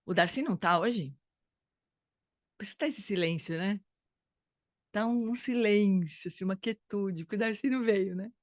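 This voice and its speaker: A woman's voice: medium pitch, normal speed, pauses often, no echo, clean studio sound. A woman leading a dharma study group, explaining in a casual, hesitant way and often stopping to search for words. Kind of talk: casual spoken teaching.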